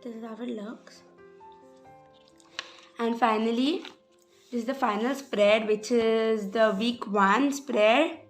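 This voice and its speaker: A young woman with a slightly rough voice, hesitantly walking through her journal pages in a casual voice-over. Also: on the high side, fairly even intonation, slow, pauses often, soft background music. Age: young adult. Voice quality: hesitant, many "sort of" fillers. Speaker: journal vlogger. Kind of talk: casual craft walkthrough voice-over.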